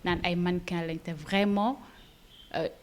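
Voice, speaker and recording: A young woman from Senegal, a model, chatting in a relaxed interview with frequent fillers. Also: lowish voice, unhurried. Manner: chatty, laughs easily, hesitant fillers. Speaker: fashion model, interview guest. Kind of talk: casual interview, mixing Wolof and French.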